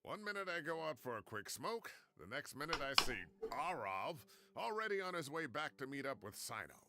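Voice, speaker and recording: A fussy, lively older professor. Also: male voice, a little high, moderate pace, very noisy. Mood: sighing, regretful. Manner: indignant, blustering, theatrical. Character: fussy, grumbling older retired male professor. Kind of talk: video game voice acting.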